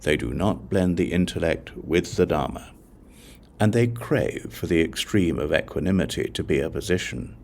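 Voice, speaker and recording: A man narrating an audiobook of scripture, reading scripted text in a measured, solemn way, phrase by phrase. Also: low voice, expressive, gravelly, darkish tone.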